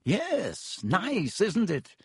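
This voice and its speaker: Storybook role: a self-satisfied male wizard professor, pompous and showy, high swooping delivery, close dry recording. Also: huge pitch swings, extremely slow, darkish tone, breathy edge, no room sound, full character voice. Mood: smug, condescending. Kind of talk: audiobook character acting.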